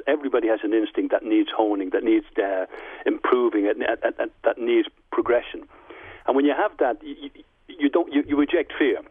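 A man in his sixties with a Dublin Irish accent, speaking as a guest in a reflective radio interview, measured and often repeating words as he restarts. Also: medium pitch, breathy edge, dry recording, narrow, tinny audio. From Dublin, Ireland. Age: 60s. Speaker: guest, former footballer and manager.

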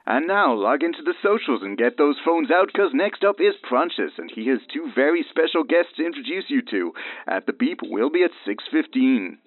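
A man's voice: fairly high pitch, very animated, moderate pace, no echo. A man playing an upbeat state radio announcer, heard through a radio filter in an audio drama. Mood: promotional. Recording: tinny, dry radio-speaker sound.

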